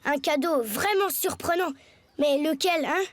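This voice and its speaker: A woman's voice: high-pitched, measured pace, noisy background. A woman voicing a polite, good-natured young schoolboy in a French cartoon, earnest and a little hesitant. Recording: music behind the voice. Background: music.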